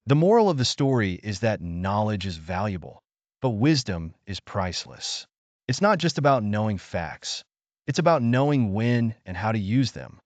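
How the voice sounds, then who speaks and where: A man narrating a scripted bedtime story for children in a measured, expressive manner. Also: fairly low pitch, dry recording, muffled recording.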